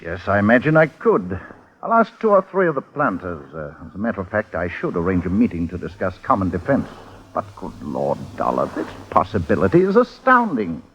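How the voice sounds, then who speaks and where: A fussy colonial constable who exclaims in shock again and again. His low voice rises and falls a lot, and it comes through a dull old radio broadcast. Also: big pitch swings, a little fast. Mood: flustered. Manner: flustered, exclaiming. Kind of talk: 1950s radio drama acting.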